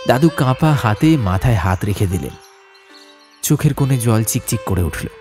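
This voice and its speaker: A man reads a ghost story in a dramatic audiobook style. His medium-pitched voice moves expressively up and down, over a faint bed of music. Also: animated, normal speed, dark tone. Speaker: audiobook narrator. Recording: music bed behind narration.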